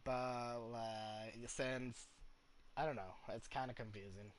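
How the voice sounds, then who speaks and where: A man doing casual livestream game commentary, explaining things in a hesitant, halting way and repeating himself. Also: medium pitch, fairly even intonation, heavy background noise. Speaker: game streamer. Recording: game music behind the voice.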